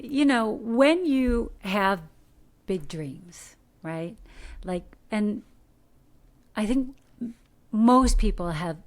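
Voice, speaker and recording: A middle-aged American woman from Detroit, an actor giving earnest, coaching advice in a podcast interview, animated with rhetorical questions. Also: lowish voice. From Detroit, USA. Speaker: guest, actor. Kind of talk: podcast interview, motivational talk.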